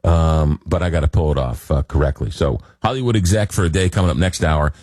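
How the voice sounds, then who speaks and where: Low-voiced rambling late-night radio man. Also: fairly quick, a little mellow, dry recording, clean studio sound. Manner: rambling, hesitant, many fillers. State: recovering from a cold. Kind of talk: casual radio talk.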